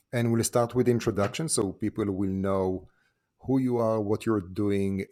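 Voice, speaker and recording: A man's voice: on the low side, darkish tone. A man hosting a casual interview podcast, asking long, friendly, rambling questions. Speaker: podcast host.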